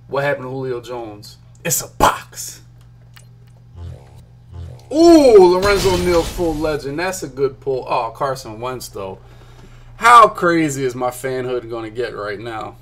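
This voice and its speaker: A young man from Philadelphia rattles off card pulls in a loose, hyped stream commentary at a mid-range pitch. He talks straight to his chat from a small home room on a desk mic. A faint hum sits under his voice. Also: fairly bright tone. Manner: chatty, hyped, talks to chat while clicking. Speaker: gaming streamer. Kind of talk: casual pack-opening commentary.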